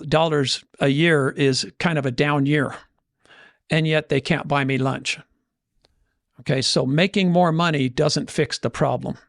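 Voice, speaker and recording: A man's voice: a little high, creaky, no room sound, studio quality. A man speaking conversationally as a podcast host and financial coach, motivational in style, often voicing imagined inner dialogue to make his points. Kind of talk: conversational motivational money talk.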